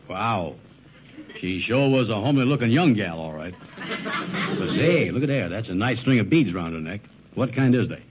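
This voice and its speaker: An actor playing a gullible, grumbling, slow-witted big man in an old radio sitcom, with blunt, folksy, ungrammatical speech. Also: fairly low pitch, lively intonation, close to an everyday voice. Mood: dismayed. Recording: muffled, noisy vintage radio recording.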